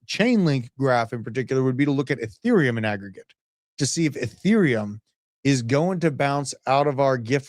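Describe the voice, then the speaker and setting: A man in his thirties hosting a livestream, giving brash, animated market commentary and chatting with live viewers. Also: mid-range voice, dry recording, clean studio sound. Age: 30s. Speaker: crypto livestream host.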